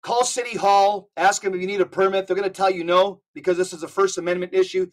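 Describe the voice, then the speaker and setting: A man speaking as a podcast guest, urging listeners with rapid lists of instructions. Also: high-pitched, fairly even intonation. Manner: urgent, exhorting, rapid lists. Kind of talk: casual podcast talk.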